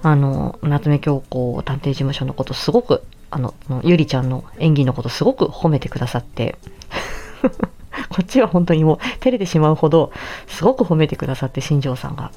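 A woman's voice: deep voice, expressive, a little fast, dark tone. A woman hosting a casual solo podcast, chatting politely and effusively. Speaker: podcast host and amateur voice actor.

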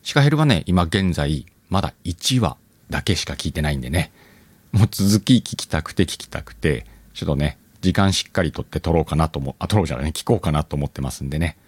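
A man's voice: extremely low pitch, lively intonation, fast, slightly rough, dry recording. A man hosting a channel, chatting informally and addressing his listeners as he makes a casual announcement. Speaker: channel host.

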